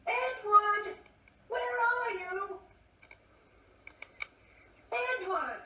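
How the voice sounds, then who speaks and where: An actress playing a prim, patient but exasperated older wife in a radio play, chiding and full of questions. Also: female voice, very high-pitched, very slow, bright, forceful tone, heavily acted. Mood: fretful, searching.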